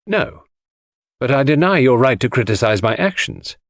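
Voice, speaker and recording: Man voicing a cool, defiant wife, pitched up. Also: expressive, moderate pace, dry recording, studio quality, close to an everyday voice. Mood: cool defiance. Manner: cool, controlled, challenging. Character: cool, defiant married woman.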